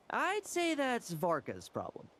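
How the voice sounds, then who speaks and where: A woman's voice: on the high side, huge pitch swings, slow pace, a bit bright, slight background noise, heavily acted. A woman voicing a sly, teasing, inquisitive character in an anime game, probing in manner. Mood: amused.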